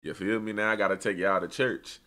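A man's voice: lowish voice, steady tone, brisk, pushed and bright. A young American man giving casual, slangy reaction commentary with opinionated, conversational delivery. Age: young adult. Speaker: reaction video host.

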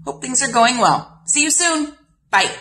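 A middle-aged woman with a bright, forceful voice speaks casually to children in a short video message. She is recorded in an office, close to the camera. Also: high voice, animated, unhurried, slight background noise. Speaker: guest greeting church children. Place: office with large windows, indoors.

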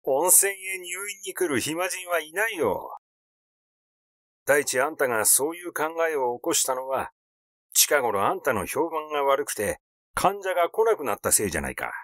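A man's voice: a little high, sing-song, normal speed, a bit bright, no room sound, studio quality, voice pushed up. A man voicing a glib fifty-year-old artist in a story, nervously defiant, protesting in chatty, casual speech. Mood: mocking.

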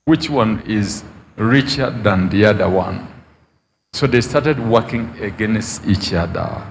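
A man leads a prophecy service into a handheld mic, his voice leaping up in pitch whenever he presses a point. His words trail off in a long reverb from the big space around him. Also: slow, a little hiss or hum. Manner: prophesying with sudden emphatic rises. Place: large outdoor church tent.

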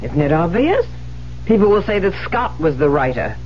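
A muffled old radio drama with audible noise. a high-strung widowed woman writer, defensive and theatrical, her pitch swinging widely as she insists and falters. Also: big pitch swings, normal speed. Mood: tense, brittle. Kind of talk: 1970s radio drama acting. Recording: muffled, noisy 1970s radio broadcast.

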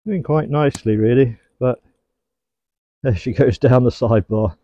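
A man's voice: mid-range voice, normal speed, mellow, no room sound, dull audio. A middle-aged man working at his beehives outdoors in a garden apiary, giving easygoing running commentary and talking fondly to the bees. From England. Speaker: beekeeper. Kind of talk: hands-on commentary while working.

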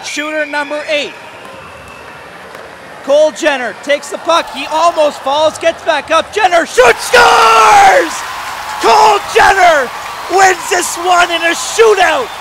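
A man calling play-by-play for a hockey shootout, rising to shouted goal calls while his voice is going. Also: very high-pitched, slow. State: losing his voice. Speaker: play-by-play commentator. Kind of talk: excited sports commentary. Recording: crowd and arena noise behind.

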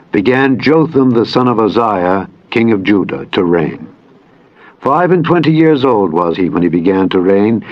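A man reading scripture as a dramatized audiobook narration, solemn and measured, with deliberate phrasing. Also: lowish voice. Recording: dull band-limited audio with music behind. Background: background music.

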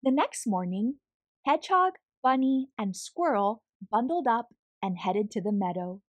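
A woman reading a picture book aloud to children in an expressive, deliberate, theatrical style. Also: a little high, dry recording. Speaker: children's story reader.

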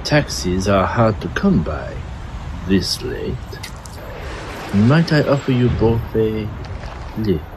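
A man's voice: fairly low pitch, very animated, slow pace, pauses often. A man playing a courteous, suave, menacing old-world European prince in an audio drama. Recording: clear background noise.

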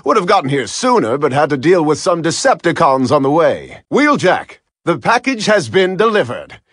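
Bright, high-pitched gung-ho robot pilot. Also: male voice, very animated, normal speed, no room sound, studio quality. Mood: confident. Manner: urgent military radio callouts. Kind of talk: video game combat voice lines.

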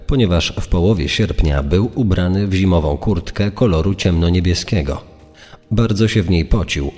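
A man's voice: sits low, a little mellow. An actor playing a sober man who describes suspects in dramatized testimony. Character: sober male witness or investigator describing suspects. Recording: long reverb, music underneath.

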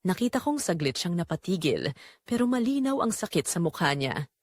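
Young Filipino female narrator for dramatic romance story; emotive, reflective, lively pitch movement, close dry recording. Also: brisk, dry recording. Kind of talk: dramatic scripted first-person romance narration.

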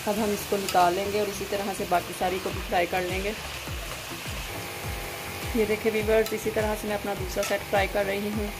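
A woman's voice: medium pitch, level tone, brisk, rough recording. A woman gives a plain, instructional step-by-step recipe voice-over as a home cooking host. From Pakistan. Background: music behind the voice.

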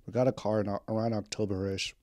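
A man's voice: fairly low pitch, flat delivery, fast, dark tone, dry recording, studio quality. A man in his twenties casually catching up with his audience, rambling and candid, with slang and the odd swear. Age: 20s. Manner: rambling, candid, drifts between topics. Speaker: vlogger. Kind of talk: casual catch-up podcast talk. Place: home.

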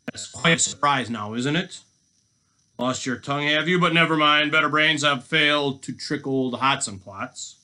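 A bright, forceful storybook robber, acted out by a man reading aloud. He jeers and gloats, putting on mock sweetness as he bullies his captive. Every phrase is pushed out with boastful emphasis. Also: medium pitch, normal speed, voice pushed up, lightly acted, rough recording. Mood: gloating. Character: jeering boastful male robber villain, gloating and bullying. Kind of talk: children's storybook voice acting.

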